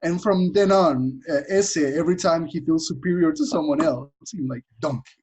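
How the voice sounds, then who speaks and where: A man with a husky voice chatting casually about his character, halting with fillers and laughing often. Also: a little high, heavy background noise. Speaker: player. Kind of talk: casual podcast chat.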